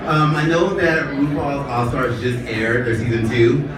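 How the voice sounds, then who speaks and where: A man's voice: medium pitch, fairly even intonation, measured pace. Polite audience man, distant and echoey. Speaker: audience member asking a question. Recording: echoey hall from far off, crowd.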